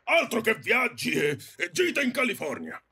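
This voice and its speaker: Man acting stern, gravelly, high-voiced father. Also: wildly swooping pitch, normal speed, sharp tone, full character voice. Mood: angry, domineering. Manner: scolding, domineering. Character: stern, overbearing father pushing daughter into marriage. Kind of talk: audio drama acting.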